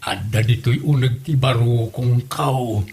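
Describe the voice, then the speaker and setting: A man's voice: mid-range voice, a little slow, creaky, darkish tone. A man playing an older Ilocano father, earnest and easily hurt, in a comedy drama. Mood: hurt, pleading. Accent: Filipino, Ilocano. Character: older father, wounded, earnest suitor to his in-law.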